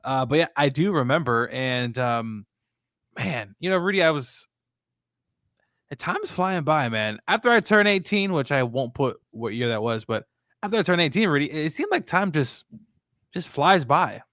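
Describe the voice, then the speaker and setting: A man co-hosting a casual sports chat, talking in a reflective, halting, conversational way. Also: fairly high pitch, very animated, a bit bright, dry recording, studio quality. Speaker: sports show co-host.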